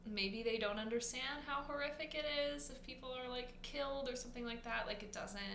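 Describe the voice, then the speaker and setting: A woman's voice: a little high, fairly quick, a bit bright, a little hiss or hum. A young adult woman, a teacher co-hosting a podcast, chatting casually with lots of fillers and frequent laughs. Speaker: podcast co-host. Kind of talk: casual chat. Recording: echoey room with faint ambience.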